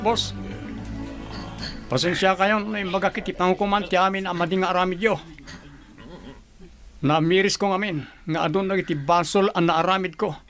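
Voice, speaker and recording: A man acting an earnest, modest provincial governor and fond father in a radio drama, speaking in a measured, sincere way. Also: sits high, steady tone, fairly bright tone, faint music behind. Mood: pleading, earnest.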